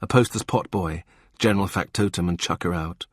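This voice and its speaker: Male audiobook narrator, lowish husky voice, dry close recording, calm even read. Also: a little fast, no echo. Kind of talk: scripted narration.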